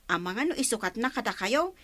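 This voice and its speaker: A woman's voice: a little high, big pitch swings, a little fast, fairly bright tone, no room sound. A woman with an Ilocano accent playing an outspoken young village woman in a comedy radio drama. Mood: defiant.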